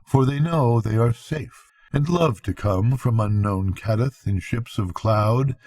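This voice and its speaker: A man's voice: mid-range voice, unhurried, a little mellow, dry recording, clean studio sound. An older man narrating a bedtime story like a grandfather, with solemn, dramatic phrasing.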